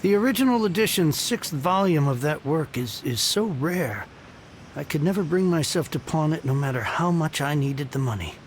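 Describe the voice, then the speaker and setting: A man's voice: fairly high pitch, animated, moderate pace, slight background noise, natural voice. A man voicing a grouchy, melodramatic older book collector in a video game, ranting theatrically. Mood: wistful, impressed.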